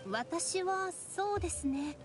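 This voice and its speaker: A woman voicing a gentle, thoughtful young noblewoman in a Japanese anime game, with courteous, formal, soft-spoken delivery. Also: very high voice, animated, slow pace, soft background music, full character voice. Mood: pondering.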